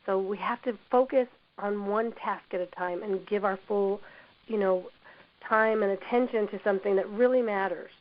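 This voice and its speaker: A woman with a hoarse voice from a cold, presenting a workplace training webinar in an encouraging manner, often saying "you know". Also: medium pitch, fairly even intonation, normal speed. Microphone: computer mic on a webinar call. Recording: dull lo-fi web audio.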